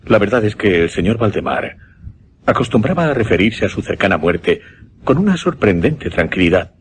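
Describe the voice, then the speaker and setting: Deep-voiced, earnest gentleman mesmerist. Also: big pitch swings, a little hiss or hum. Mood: reflective, measured. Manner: formal, deliberate, measured with dramatic pauses. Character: earnest gentleman mesmerist recounting his uncanny experiment. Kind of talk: Spanish suspense radio drama acting.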